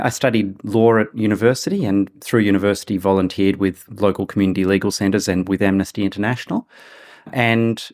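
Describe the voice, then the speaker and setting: A man from Australia, a human rights advocate, giving formal, deliberate interview answers. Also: lowish voice, a little mellow.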